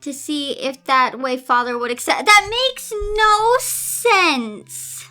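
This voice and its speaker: A woman with a high voice reads aloud at a slow, deliberate pace, breaking into casual, exasperated asides. Her delivery is expressive and theatrical, with music playing behind her. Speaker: host reading and reacting to fan fiction. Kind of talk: dramatic read-aloud with casual exasperated commentary.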